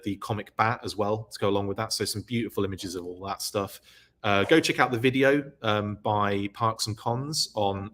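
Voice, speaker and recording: Lowish-voiced man, chatty and rambling. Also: fairly bright tone. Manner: casual, rambling, many ums and uhs. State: losing his voice. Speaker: podcast host. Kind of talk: live-stream news recap.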